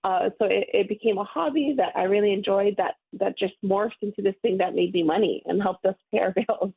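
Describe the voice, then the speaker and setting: Woman, 30s, from Hawaii, friendly, rambling guest, gravelly edge, phone-line sound. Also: medium pitch, brisk. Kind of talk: casual interview.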